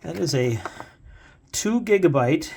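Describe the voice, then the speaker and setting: A man's voice: on the high side, lively intonation, slow pace, vocal fry, a little mellow, a little hiss or hum. A middle-aged man chatting casually and unscripted as he reviews a gadget, rambling along in an amused way. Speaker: co-host, dad showing vintage gadgets.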